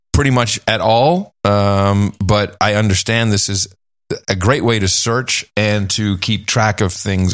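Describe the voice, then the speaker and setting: A man with a low-pitched voice hosts a casual podcast chat, wandering through his thoughts with restarts. His pitch jumps up and down as he gets animated. The sound is close and dry, with no room around it. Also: no echo. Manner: rambling, animated swings in pitch. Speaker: podcast host.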